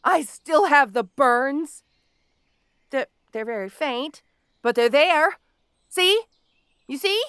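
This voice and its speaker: A woman playing a petty, obsessive supervillain in an audio roleplay, gloating haughtily and speaking straight to the listener with theatrical pauses. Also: high voice, lively intonation, fairly bright tone, no echo, studio quality, exaggerated voice. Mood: menacing, aggrieved. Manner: gloating, haughty, dramatic pauses and sudden swoops. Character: petty, obsessive, melodramatic female supervillain with a grudge.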